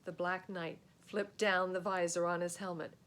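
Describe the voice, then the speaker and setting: A woman reading a children's story aloud in an expressive scripted narration. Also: lowish voice, normal speed. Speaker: storybook reader.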